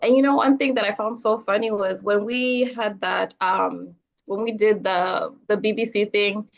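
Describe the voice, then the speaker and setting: A young adult woman from Zambia, a filmmaker being interviewed on a podcast, talking earnestly and getting animated when she quotes others. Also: medium pitch, normal speed, gravelly. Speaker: filmmaker guest. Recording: slightly dull lo-fi audio.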